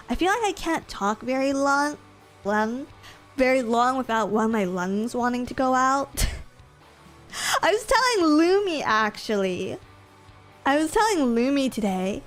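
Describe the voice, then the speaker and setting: A sniffly young woman chats casually with her audience, slipping in fillers and little laughs. She speaks slowly in a somewhat high voice. Faint music plays behind her. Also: a bit bright. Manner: chatty, giggly, rambling with many fillers. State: sniffly from allergies. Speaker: streamer. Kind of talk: casual chat with viewers.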